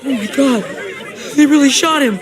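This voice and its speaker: An actor playing an anxious, loyal companion in a video game survivor drama, tense and urgent. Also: male voice, extremely high pitch, moderate pace, a crowd in the background. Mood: shocked.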